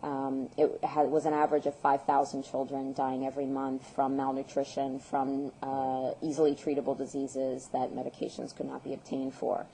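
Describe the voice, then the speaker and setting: Woman in her thirties, very deep voice, flat delivery, mellow tone, earnest interview answers, faint background hiss. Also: low-quality recording. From United States. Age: 30s. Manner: earnest, measured, many filler ums and uhs. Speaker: guest, peace activist.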